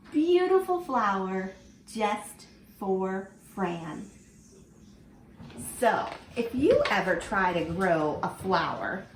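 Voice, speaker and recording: A woman teacher reading a picture book aloud to children in an animated way, stopping to ask them questions. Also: medium pitch, a little hiss or hum. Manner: animated, asks the listener questions. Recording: echoey room with faint ambience.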